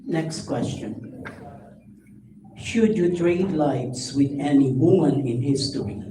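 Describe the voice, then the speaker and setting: A man's voice: medium pitch, takes it slowly, mellow. A man, an entertainment reporter, formally reading out his questions at a press event. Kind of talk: asking interview questions. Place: hotel event hall with a crowd. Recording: far from the mic, echoey hall, busy room noise.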